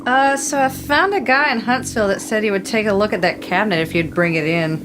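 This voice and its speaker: A woman's voice: mid-range voice, brisk, noisy background, lightly acted. A woman playing a wry, capable young studio intern in an audio drama. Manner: wry, casual. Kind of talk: naturalistic audio drama acting.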